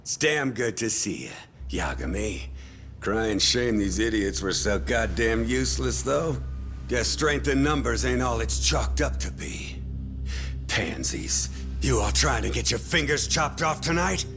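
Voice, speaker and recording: Gravelly, swaggering gangster boss. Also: male voice, medium pitch, lively intonation, unhurried. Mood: mocking, cocky. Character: cocky, menacing gangster boss, mocking and crude. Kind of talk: video game cutscene acting. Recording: dramatic music under the dialogue.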